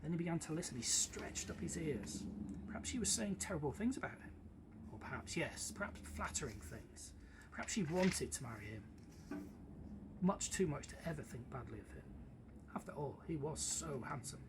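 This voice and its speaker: A man reading a children's story aloud in an expressive storytelling style. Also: a little high, normal speed. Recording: clearly noisy background.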